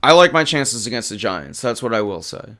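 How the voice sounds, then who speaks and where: A man hosting a live sports talk stream, casually answering chat and arguing football. His voice is hoarse, and he gets emphatic when he runs through stats. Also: mid-range voice, a little fast. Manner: animated and emphatic, rattling off stats. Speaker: livestream sports show host.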